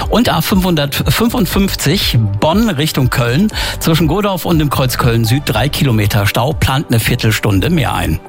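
A man giving a radio traffic report in a brisk, matter-of-fact way. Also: mid-range voice.

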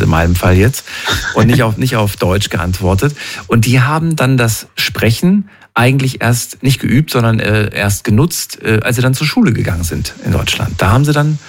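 A man interviewing a guest in a relaxed conversation, asking long, reflective questions and often checking in with short tag questions. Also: medium pitch, normal speed, vocal fry, a little hiss or hum.

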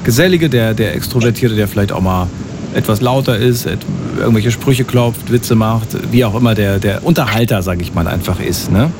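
A man's voice: on the low side, a little fast, noisy background. A man hosting a radio call-in show, chatting casually with callers and often repeating words as he talks.

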